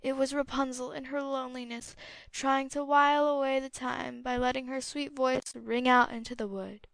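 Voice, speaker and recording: A woman narrating a fairy tale as an audiobook, with a clear storytelling delivery. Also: high voice, little pitch movement, moderate pace, a bit bright, no echo.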